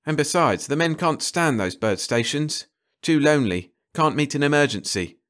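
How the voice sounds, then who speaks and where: A man voicing a brisk, enthusiastic, absent-minded ecologist in clipped phrases for an audiobook. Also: fairly high pitch, animated, fairly bright tone, voice pushed up. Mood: brisk, businesslike. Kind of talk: audiobook character dialogue.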